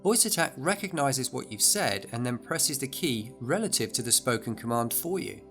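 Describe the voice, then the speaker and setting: A man's voice: mid-range voice, lively intonation, moderate pace, a bit bright, soft background music. A man narrating a scripted product overview in an enthusiastic, explanatory way.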